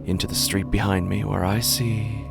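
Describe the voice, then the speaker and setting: A man playing a menacing, self-pitying street busker in a horror audio drama monologue, brooding and halting, his breathy voice often sinking toward a whisper. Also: on the low side, flat delivery. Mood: insistent, menacing. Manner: slow, halting, brooding delivery with drawn-out pauses. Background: music under the voice.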